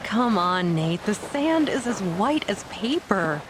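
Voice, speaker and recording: A woman's voice: medium pitch, big pitch swings, slow pace, noisy background. A woman playing an earnest, upbeat young adventurer in an audio drama, with natural dialogue delivery. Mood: awed.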